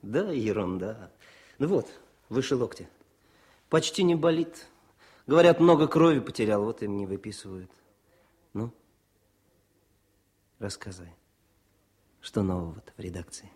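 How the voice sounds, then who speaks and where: Radio play: young soldier-poet, modest and cheerful, lively melodic delivery, slightly rough voice. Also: male voice, lowish voice, unhurried, long pauses. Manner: expressive, eager, declaims verse. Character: young male war poet and political officer, wounded, modest, cheerful. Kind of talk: Soviet radio drama acting.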